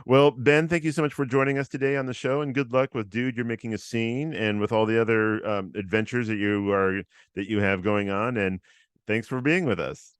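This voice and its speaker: A man hosting a casual interview podcast, upbeat and encouraging, with a loud voice and many fillers. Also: mid-range voice, fairly even intonation, moderate pace, smooth, no room sound. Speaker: host.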